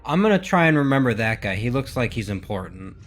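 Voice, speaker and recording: A man's voice: mid-range voice, sing-song, fast talker, soft background music. A man giving casual reaction commentary as a gaming host, thinking aloud with mild exclamations.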